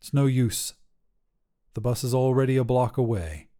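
A man's voice: mid-range voice, moderate pace, dark tone. A man narrating a scripted short story as a podcast reader, with a dramatic delivery.

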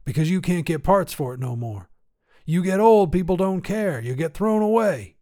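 A grumbling, folksy old grandpa acted out by a male narrator for a short story. His voice sits high and he speaks with emphatic, cranky conviction, like a tinkerer who thinks everything is falling apart. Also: lively intonation, normal speed, warm tone. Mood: defiant. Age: older. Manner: folksy, grumbling, emphatic, rural turns of phrase. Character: cantankerous old grandfather tinkerer, obsessed with decay and repair. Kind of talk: acted character dialogue in short fiction reading.

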